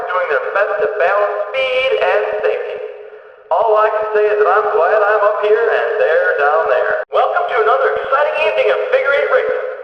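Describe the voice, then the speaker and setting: A man voicing an excitable, jokey race announcer in a video game, with a booming showman's delivery and drawn-out words. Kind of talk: arena sports announcing, scripted game voice lines. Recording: echoing PA in a big venue, distant, dull.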